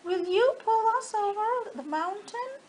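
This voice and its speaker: Storybook dolls and toys, voiced by a woman: very high, plaintive, eager pleading, swooping pitch. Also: animated, measured pace, a little hiss or hum. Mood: pleading, tearful.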